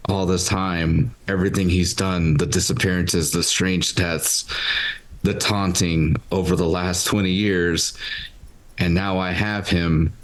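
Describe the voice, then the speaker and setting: A man playing a tabletop game, improvising his character's thoughts and actions in a halting, pensive way. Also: sits low, rough recording.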